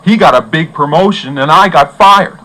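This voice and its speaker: An actor playing an eager, earnest hero in a children's computer adventure story. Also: male voice, fairly high pitch, normal speed, rough recording. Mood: friendly, bitter. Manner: animated, earnest. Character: eager, heroic young men in computer adventure. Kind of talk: children's audio story acting. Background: loud music.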